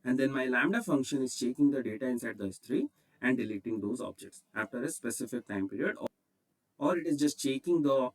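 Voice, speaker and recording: A man's voice: mid-range voice, animated, a little fast. Male tutorial presenter, Indian accent, explains each step methodically, recorded at a distance from the mic. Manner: instructional, repeats "okay" as a check. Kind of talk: step-by-step software screencast tutorial.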